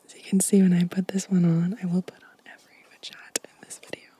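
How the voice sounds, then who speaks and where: A woman softly whispering as an ASMR host, gentle and unhurried, with a breathy voice close to the microphone. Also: fairly low pitch, flat delivery. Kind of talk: soft-spoken whispered ASMR show and tell.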